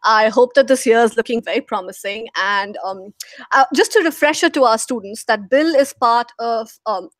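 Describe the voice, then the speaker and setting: A young woman with an Indian accent, moderating a live online question-and-answer session, speaking in a measured way with small fillers. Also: a little high, fairly bright tone, no echo. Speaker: moderator, program manager. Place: office.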